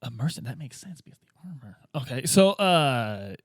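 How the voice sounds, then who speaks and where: A man's voice: medium pitch, sing-song, moderate pace, no echo, studio quality, pitched below the performer's own voice. A man playing a hapless, chummy and gullible police detective, rambling in muddled slang in a tabletop game.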